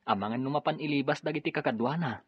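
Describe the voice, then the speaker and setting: A man with an Ilocano accent acting a dutiful young policeman and son in an audio drama, with a husky voice. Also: on the high side, fast, no room sound. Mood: earnest. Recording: dull lo-fi drama recording.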